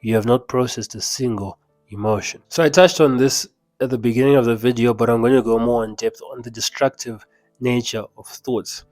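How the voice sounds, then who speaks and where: A man's voice: mid-range voice, fairly even intonation, fairly quick, darkish tone, dry recording, faint music behind. A young man with a Zimbabwean accent, giving an emphatic, conversational motivational talk with chatty asides. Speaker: mindset coach. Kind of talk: casual motivational talk to camera.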